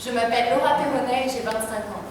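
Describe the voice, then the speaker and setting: High-voiced young woman declaiming from afar. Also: steady tone, fast, background noise. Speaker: drama student. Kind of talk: stage recitation. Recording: echoey hall, distant mic.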